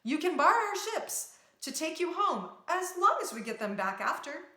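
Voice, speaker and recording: A woman's voice: very high voice, sing-song, normal speed, pushed and bright, voice pushed up, heavily acted. A woman playing a brave, determined young princess in a storybook, speaking earnestly and with command. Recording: roomy, echoing sound.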